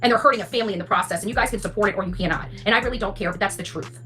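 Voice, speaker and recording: Female streamer for a fired-up rant: forceful bright tone, blunt and sweary, music playing underneath. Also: mid-range voice, very fast. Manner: indignant, emphatic, blunt, sweary. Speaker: online true crime content creator. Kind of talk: impassioned rant to livestream audience. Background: background music.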